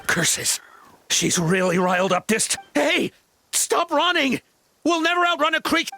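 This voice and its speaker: A panicky fellow in a video game, speaks very high with wide swings in pitch. He sounds exasperated, amid noisy sound effects. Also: big pitch swings, measured pace, full character voice. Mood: panicked.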